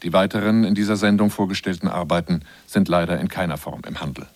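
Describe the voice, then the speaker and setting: A man's voice: sits low, fairly even intonation, fast talker, no room sound. A man reading scripted narration for a radio documentary feature.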